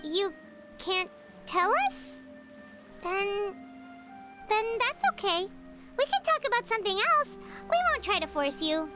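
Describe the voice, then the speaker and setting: A tiny, blunt fairy sidekick from a video game, with an extremely high, squeaky child's voice. The delivery is unhurried yet curious and excitable, full of exclamations, with prominent music behind. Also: female voice. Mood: hesitant, puzzled. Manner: exclaiming, hesitant questions. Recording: loud background music.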